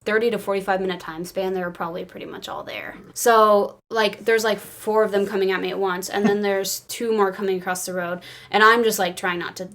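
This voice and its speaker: A woman co-hosting a podcast, telling anecdotes casually with an excitable, giggly manner and lots of "like". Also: mid-range voice, brisk, few pauses. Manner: excitable, giggly, peppers talk with "like". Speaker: podcast co-host.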